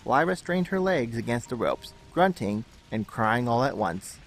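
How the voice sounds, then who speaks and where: A man narrates a dramatic story from a script. His mid-pitched voice carries the prose, with faint music playing underneath. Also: big pitch swings, a little slow.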